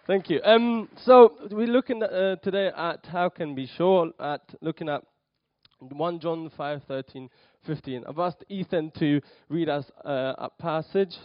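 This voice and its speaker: A man's voice: fairly high pitch, slow pace, dry recording. Nervous young man preaching, lo-fi. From Poland. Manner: hesitant, many ums and restarts. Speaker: lay preacher. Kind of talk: nervous church talk.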